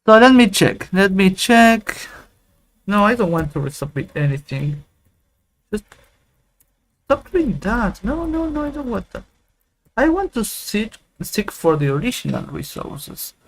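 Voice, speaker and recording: A man giving a casual, rambling software tutorial, joking and humming tunes as he goes. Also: high voice, sing-song, measured pace, long pauses, poor audio. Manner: playful, rambling, hums and sings tunes while waiting. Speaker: tech YouTuber. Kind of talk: casual screen-recorded tutorial.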